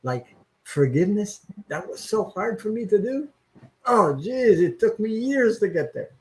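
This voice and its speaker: A man hosting a live-stream chat, talking in an earnest, halting way on a video call. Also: high voice, very animated, rough recording. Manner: earnest, halting, stops and restarts as he opens up. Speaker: host.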